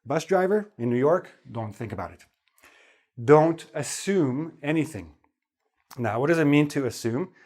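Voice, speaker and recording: A man's voice: a little high, measured pace. Chatty man teaching English. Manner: relaxed, chatty, repeats "okay?" to check in. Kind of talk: spoken English lesson.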